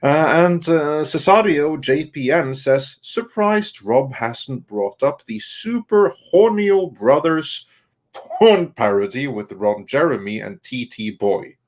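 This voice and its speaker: Slow-paced man reading aloud. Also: a little high, expressive. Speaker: co-host reading viewer messages. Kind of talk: live stream chat, reading comments aloud.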